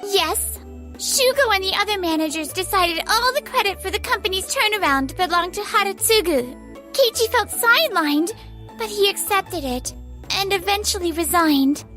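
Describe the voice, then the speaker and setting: Very high-voiced, earnest young office woman played by an actress. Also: expressive, moderate pace, sharp tone, faint music behind, heavily acted. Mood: hesitant, earnest. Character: earnest, outspoken young female office worker, Yui. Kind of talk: anime-style manga dub acting.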